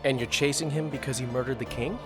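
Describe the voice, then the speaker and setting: A man's voice: medium pitch, fairly even intonation, quick pace, music in the background, lightly acted. A man voicing a vain, talkative bard in a video game, with a theatrical manner. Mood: curious. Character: chatty, vain male bard.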